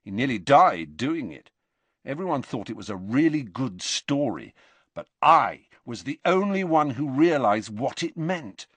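A man's voice: mid-range voice, big pitch swings, measured pace, fairly bright tone, slightly rough, dry recording, voice pushed up, exaggerated voice. Malfoy, as a man reads him: tense defiant youth. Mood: vehement. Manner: tense and vehement. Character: defiant, frightened teenage boy wizard.

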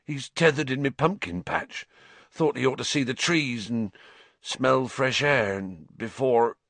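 A man voicing a huge, gentle, grieving rustic man with halting dialect phrasing in a storybook. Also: mid-range voice, gravelly, no echo, voice pushed up. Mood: shaken.

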